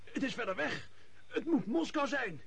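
An actor playing an excitable servant on night watch in a radio drama, exclaiming urgently. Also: male voice, very high-pitched, moderate pace, creaky, slightly rough, exaggerated voice. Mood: alarmed.

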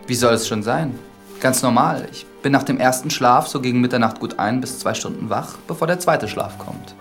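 An actor playing a reserved, educated priest in a radio drama, speaking in a measured, formal way. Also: male voice, mid-range voice, a bit bright, faint music behind, lightly acted. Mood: surprised, polite. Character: reserved, educated priest visiting a village from town.

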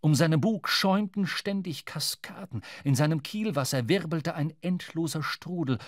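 A male actor playing a reflective sailor who narrates a whaling adventure in measured literary style for a radio drama. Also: fairly high pitch, no room sound, studio quality, close to an everyday voice. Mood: intense, grave.

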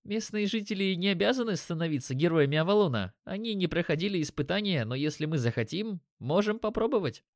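A woman's voice: lowish voice, lively intonation, fast talker, few pauses, dry recording, studio quality, pitched above the performer's own voice. A man voicing a friendly, earnest female elf telling of local events in an audiobook.

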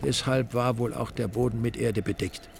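A man's voice: medium pitch, fairly even intonation, normal speed, vocal fry. A man narrating a scripted crime story in a dry, wry storytelling manner. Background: music under the narration.

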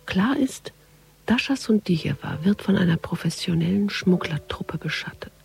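A woman playing a wry, analytical police detective, thinking aloud in a hushed inner monologue in a radio crime drama. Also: medium pitch, creaky, lightly acted.

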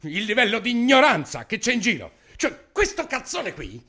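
An actor playing a crude, excitable, needy henchman in a theatrical radio drama, with an insistent, repetitive way of talking. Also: sits high, moderate pace, heavily acted. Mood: irritated. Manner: excitable, repeats phrases insistently. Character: foul-mouthed male henchman, excitable, crude, needy sidekick.

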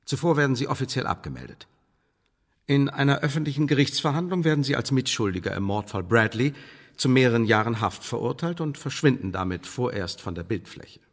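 An actor playing a coolly menacing English intelligence official in a radio play, speaking in formal, measured, condescending bureaucratic phrases. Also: male voice, medium pitch, animated. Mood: smooth, condescending. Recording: studio radio play with long reverb.

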